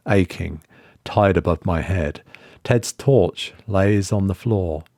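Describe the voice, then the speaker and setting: A man narrating a horror story in a measured, suspenseful way. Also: low voice, darkish tone, no room sound, clean studio sound. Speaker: story reader.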